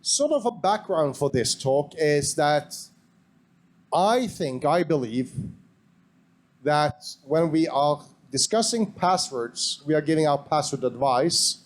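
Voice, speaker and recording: A man with a Norwegian accent opens a conference session and introduces the next speakers. His voice sits a little high for a man. He talks in a measured way, pausing with fillers. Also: expressive. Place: conference hall.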